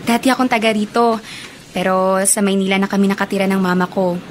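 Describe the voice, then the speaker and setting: An actress playing a sweet, friendly young Filipino student in a radio drama, speaking in an open manner. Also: female voice, mid-range voice, fairly even intonation, quick pace, noisy background, lightly acted. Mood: friendly, curious. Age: young adult. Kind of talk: Tagalog radio drama acting.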